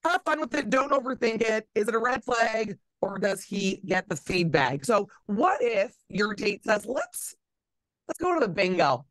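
A woman radio host, talking in a loose, chatty way full of fillers and restarts. Her voice sits at an ordinary pitch and comes through a close, dry studio mic with no room sound. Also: sing-song, a little slow, no room sound. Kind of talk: casual interview with games.

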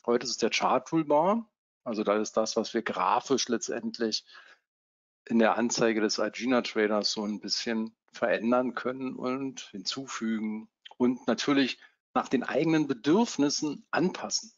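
A man in his fifties from Berlin, giving a casual live webinar as a trading software coach, with many fillers. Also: medium pitch, normal speed, highs cut off. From Germany (Berlin). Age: 50s. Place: home office.

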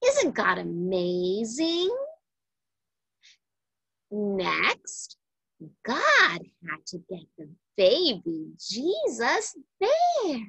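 A woman reading a children's Bible storybook aloud in a deliberate, emphatic way for young listeners. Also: a little high, huge pitch swings, creaky, fairly bright tone, breathy edge, no echo, low-quality recording.

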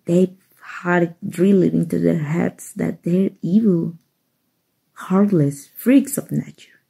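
A woman acting a kind, earnest human rescuer in a soft ASMR roleplay, in a gentle, reassuring manner. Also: mid-range voice, full character voice. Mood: tender, reassuring. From Mexico. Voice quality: soft, breathy, husky.

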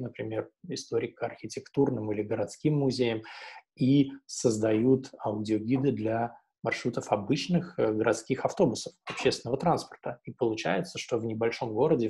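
A man's voice: mid-range voice, creaky, warm tone, slightly rough. A man in his thirties giving an informal, explanatory webinar presentation over an earphone headset in a room. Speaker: webinar presenter for a travel audio guide platform. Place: indoor room at home or office, seated. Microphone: wired earphone headset on a video call.

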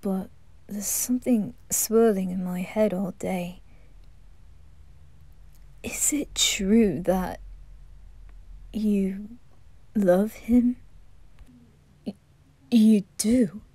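A woman voicing an anxious young noble boy in a fan audio roleplay, speaking in a hushed, stammering whisper. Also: mid-range voice, extremely slow, pauses often, dry recording. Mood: troubled.

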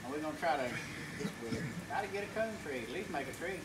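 Man vlogging outdoors in loud night rain. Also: a little high, animated, fast talker. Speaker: vlogger introducing fellow hunters. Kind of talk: casual chat while filming. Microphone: phone camera held by the filmer. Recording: distant voice over loud rain and outdoor noise.

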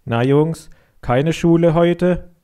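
A man voicing a friendly, fatherly milk inspector in a children's story, genial and gently teasing. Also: fairly high pitch, takes it slowly, pitched above the performer's own voice.

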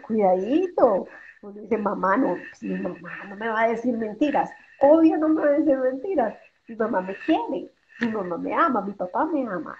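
An emphatic woman in her forties talks at a fairly high pitch, throwing in rhetorical questions and acting out what parents would say. She speaks over a video call from a room at home. The sound is dull and lo-fi. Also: slight background noise. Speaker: guest speaker. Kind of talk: explanatory interview talk.

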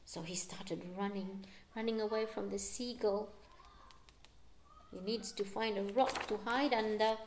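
Woman, middle-aged, slow picture-book read-aloud, slightly high voice, expressive, teacherly asides to children. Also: a little hiss or hum. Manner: deliberate, dramatic storytelling for young children. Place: living room at home.

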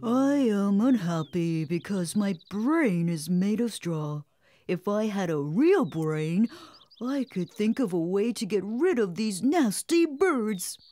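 A woman plays a friendly, naive cartoon scarecrow with a soft mellow tone. The voice swoops about wistfully, recorded dry. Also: mid-range voice, expressive, slow, dry recording. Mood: unhappy. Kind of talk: children's cartoon acting.

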